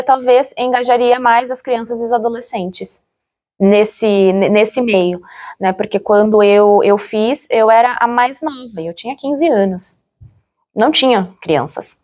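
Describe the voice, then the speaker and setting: A young woman with a Brazilian accent talking casually and reflectively in an interview, chatty, often repeating words for emphasis. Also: on the high side. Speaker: former radio drama actress, interviewee.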